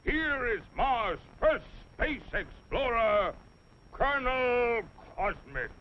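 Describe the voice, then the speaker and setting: A man voicing a pompous, bossy Martian dictator in a 1950s animated cartoon, commanding and self-important. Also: extremely high pitch, takes it slowly, exaggerated voice. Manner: commanding, self-important, stumbling into false politeness. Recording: dull, noisy 1950s film soundtrack.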